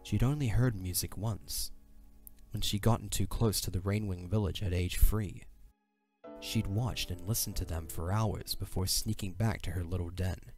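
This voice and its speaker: Low-voiced male storyteller. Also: moderate pace. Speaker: narrator. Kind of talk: scripted audio drama narration. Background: soft music.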